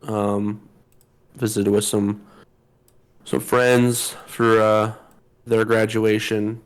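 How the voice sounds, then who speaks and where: Slightly hoarse man chatting casually. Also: on the low side, monotone, very slow. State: slightly hoarse from a sore throat. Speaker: podcast co-host. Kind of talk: casual chat.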